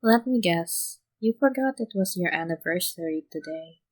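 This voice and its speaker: A woman's voice: on the low side, lively intonation, normal speed. Woman as tired young guy boss, fed up but giving advice, audiobook style. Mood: dry exasperation. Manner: long-suffering, exasperated. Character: weary, exasperated young male mafia boss giving advice.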